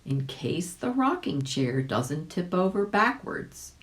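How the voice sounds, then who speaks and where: A woman narrates a children's story in a low voice. She takes her time and swings her pitch widely, speaking straight to young listeners with animated expression. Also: takes it slowly. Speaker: volunteer audiobook reader.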